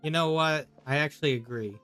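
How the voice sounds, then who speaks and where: A man's voice: fairly high pitch, a little fast, over music. A man giving casual, off-the-cuff commentary while streaming a video game. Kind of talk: casual live gameplay commentary.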